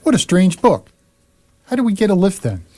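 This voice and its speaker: A man playing a bewildered, dryly sarcastic human stranded in space in a radio comedy, deadpan and incredulous. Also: high voice, normal speed, full character voice.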